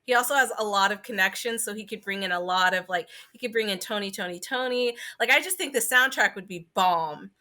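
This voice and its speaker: A woman's voice: medium pitch, a little fast, hardly any pauses, sharp tone. A woman co-hosting a podcast, chatting casually with enthusiastic, slangy talk full of "like". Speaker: podcast co-host. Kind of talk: casual chat.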